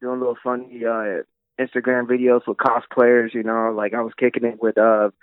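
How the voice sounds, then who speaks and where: A man in his thirties calling in to a podcast, talking in a relaxed, easygoing way. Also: medium pitch, moderate pace, no room sound. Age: 30s. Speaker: podcast guest on a phone call. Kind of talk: casual phone chat. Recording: dull dry phone-call audio.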